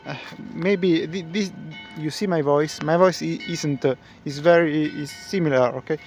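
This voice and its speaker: A man whose first language is Italian, commenting casually on a stream for a reaction video, halting and searching for his words. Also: on the high side, fairly even intonation, soft background music.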